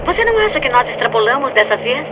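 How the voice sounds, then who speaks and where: High-voiced Brazilian female researcher, noisy. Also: sing-song, fast talker, highs cut off. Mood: uneasy, awed. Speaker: video game companion. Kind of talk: dubbed video game acting. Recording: loud noise and hum behind.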